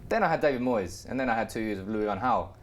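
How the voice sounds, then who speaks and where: A man's voice: fairly low pitch, animated, fast talker. A man arguing football as a pundit, telling it dramatically and talking over the others. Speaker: Manchester United fan pundit. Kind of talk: heated football debate.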